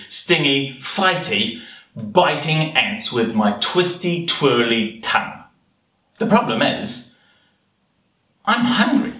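Guy doing a hungry fussy anteater from a kids book, super swoopy pitch, roomy sound. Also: pushed and bright, exaggerated voice. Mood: fed-up, hungry. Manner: theatrical, relishing each word. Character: hungry, fussy, scheming anteater from a children's storybook. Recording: roomy, slightly distant home recording.

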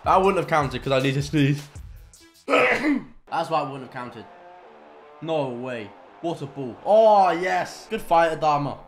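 A young man giving excitable commentary on his own football video game play. He mutters between moves and bursts into loud shouts at goals. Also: a little high, slow. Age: young adult. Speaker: gaming content creator. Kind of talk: live gameplay commentary. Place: home gaming room indoors. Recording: roomy echo, faint game music behind.

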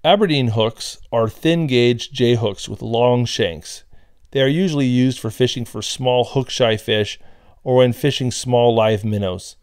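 A man reads a tutorial voice-over in a plain, steady manner, his pitch sitting in an ordinary middle range. The sound is close and dry, with no room around it. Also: no room sound. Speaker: fishing instructor.

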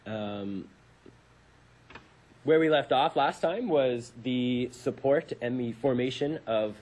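A man's voice: mid-range voice, slow pace, darkish tone, rough recording. A young adult man from Canada speaking as a radio show host in a studio. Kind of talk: casual radio talk and dramatic reading.

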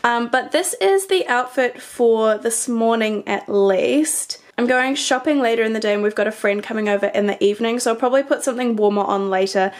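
A woman's voice: on the high side, brisk, hardly any pauses. Chatty New Zealand woman with a cold. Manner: chatty, relaxed, upbeat. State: hoarse and congested from a cold. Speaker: fashion vlogger and teacher. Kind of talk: casual outfit vlog. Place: bedroom with a mirror, indoors. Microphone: camera's built-in mic, filmed in the mirror.

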